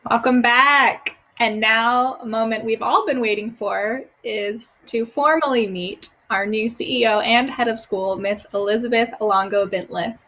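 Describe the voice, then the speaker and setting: A woman hosting an online event, announcing the next speaker in a brisk presenter tone. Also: a little high, steady tone, sharp tone, low-quality recording. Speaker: event host.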